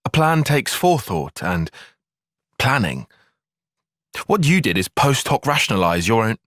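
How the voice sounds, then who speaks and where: An earnest young tactician in a video game cutscene. His voice is a little husky and recorded close and dry. He speaks in formal, bookish sentences, with pitch that moves a good deal. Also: big pitch swings, measured pace, fairly bright tone, dry recording. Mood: scornful. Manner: precise, formal, bookish phrasing. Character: analytical, earnest young male soldier and tactician. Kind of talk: dramatic game cutscene acting.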